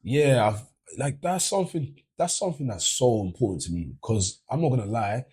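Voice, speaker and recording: Husky-voiced animated London man. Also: medium pitch, moderate pace. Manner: animated, emphatic, keeps asking do you know what I mean. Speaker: panel guest. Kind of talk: casual discussion.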